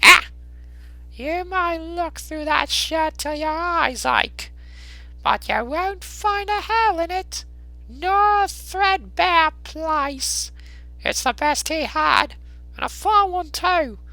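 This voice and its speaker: A man acts out a callous Victorian charwoman for a storybook. The voice is very high and piercingly sharp, with drawn-out, slow words. She is brazen and coolly scornful, without a shred of shame. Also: vocal fry, no echo, exaggerated voice, rough recording. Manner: coolly unashamed, drawn-out scoffing delivery. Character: brazen, callous working-class charwoman hawking plunder. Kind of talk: dramatised Victorian storybook reading.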